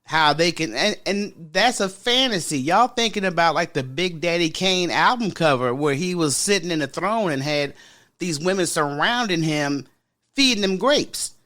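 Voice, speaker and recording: A woman with a deep voice hosting a podcast in casual solo chat, frank and sassy, talking straight to her listeners. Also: lively intonation, moderate pace, a bit bright. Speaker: podcast host.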